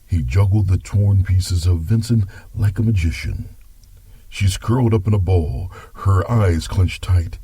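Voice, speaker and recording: A man with a husky voice narrating a scripted story in a dramatic style. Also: low-pitched, a little slow, warm tone, no echo.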